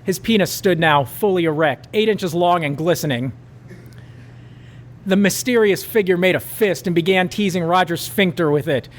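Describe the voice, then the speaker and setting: A man's voice: high-pitched, normal speed. A man reading a raunchy comic story aloud at a live show, with mock-dramatic narration.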